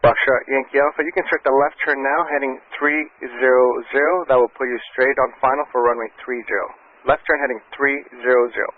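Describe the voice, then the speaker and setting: A man working as an air traffic controller, giving calm, reassuring step-by-step instructions over aviation radio. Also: mid-range voice, a little fast, no echo. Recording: muffled, band-limited aviation radio transmission.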